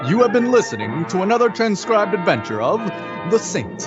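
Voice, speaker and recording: A man's voice: sits high, sing-song, a little fast. A man announcing in a dramatic old-time radio style. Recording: dull, muffled lo-fi audio. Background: music.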